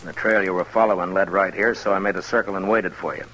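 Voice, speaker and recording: An American actor playing a weary, firm frontier marshal in an old radio western, terse, measured and authoritative. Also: male voice, deep voice, steady tone, fairly bright tone. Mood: dry, firm. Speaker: lead actor and narrator. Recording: noisy vintage radio recording.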